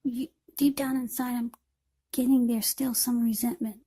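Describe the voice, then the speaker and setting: Husky high-voiced woman reading tarot. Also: level tone, moderate pace, dark tone. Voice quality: husky, breathy. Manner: unscripted, short broken phrases with repeats. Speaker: tarot card reader. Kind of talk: informal tarot reading spoken to the viewer.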